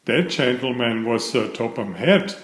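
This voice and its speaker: A man's voice: mid-range voice, lively intonation, unhurried, a bit bright, echoey room. A man reading the part of an earnest engine driver in a children's storybook. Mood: knowing.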